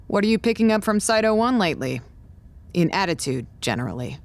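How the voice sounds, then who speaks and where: An actress playing a brusque, weary, stressed doctor in an audio drama, dry and curt in delivery. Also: female voice, mid-range voice, big pitch swings, a little fast, creaky. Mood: dry, businesslike. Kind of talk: naturalistic audio drama acting.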